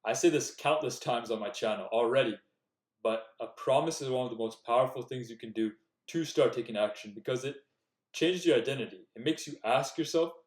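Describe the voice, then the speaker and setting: A man's voice: medium pitch, a little fast. A young man giving earnest, direct self-improvement advice in a casual talk to the camera. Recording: echoey room.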